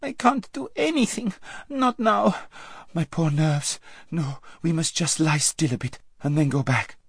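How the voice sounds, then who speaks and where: A man voicing a frightened, spoilt young noblewoman in an audiobook, with a squeaky, breathy whine. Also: expressive, moderate pace, a little mellow, no room sound, studio quality, exaggerated voice. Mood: squeaky protest. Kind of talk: audiobook character acting.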